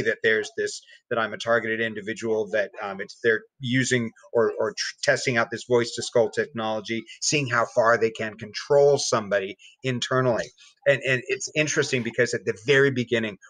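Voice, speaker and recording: A man, a podcast guest, giving a personal account in casual conversation, halting, with many repeated words and restarts. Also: medium pitch, a bit bright, rough recording.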